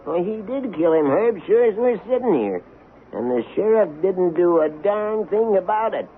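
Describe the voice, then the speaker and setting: High-voiced, gossipy western card player. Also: male voice, animated, moderate pace. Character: gossipy card-playing companion in Old West saloon. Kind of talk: 1970s radio drama acting. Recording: narrow-band vintage radio recording with faint hiss.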